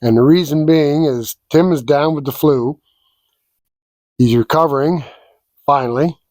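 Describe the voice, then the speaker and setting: A man hosting a sawmill vlog, congested from a cold, explaining things in a slow, folksy, down-to-earth way. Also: fairly high pitch, darkish tone, dry recording, studio quality. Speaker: sawmill owner and channel host. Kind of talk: casual outdoor vlog walkthrough. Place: wood shed at a sawmill.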